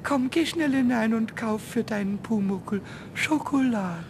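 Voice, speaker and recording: An actor voicing a small, mischievous, childish male kobold with a squeaky voice, whiny and stubborn, in a German children's radio play. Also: unhurried, background noise, natural voice. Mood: cheeky, begging.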